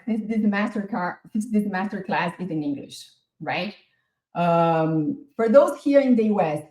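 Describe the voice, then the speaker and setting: A woman's voice: on the high side, darkish tone. Woman, middle-aged, Brazilian accent, Portuguese native, enthusiastic talkative presenter, echoey room. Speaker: masterclass presenter. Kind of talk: online masterclass presentation.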